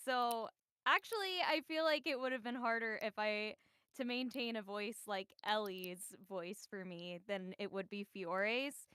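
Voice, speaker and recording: A woman voice actor with a naturally high voice, thoughtfully explaining her craft. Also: unhurried, sharp tone, smooth, no echo, studio quality. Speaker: voice actor on a panel.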